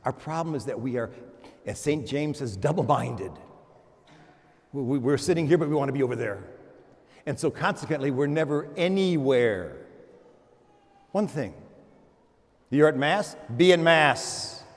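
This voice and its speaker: A man giving a homily as a priest, animated, moving between loud exclamations and hushed murmurs, often repeating words and restarting in a conversational way. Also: mid-range voice, measured pace, long pauses. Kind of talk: sermon. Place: large church. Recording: long church reverb.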